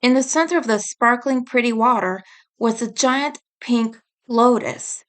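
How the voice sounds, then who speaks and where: High-voiced woman reading a story slowly. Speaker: narrator reading own fantasy story. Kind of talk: scripted storybook narration.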